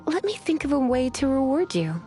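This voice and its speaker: A woman's voice: high-pitched, big pitch swings, brisk, warm tone. A woman voicing a languid, teasing female mage and librarian in a fantasy video game, with a flirtatious, sleepy drawl. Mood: drowsy. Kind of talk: video game character voice lines. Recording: music behind the voice.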